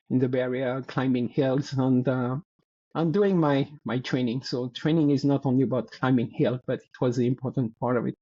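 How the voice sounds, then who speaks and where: A man around sixty with a French accent, a guest in a casual interview, talking in a halting way and searching for words. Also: mid-range voice, dark tone, muffled recording. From France. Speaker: guest athlete.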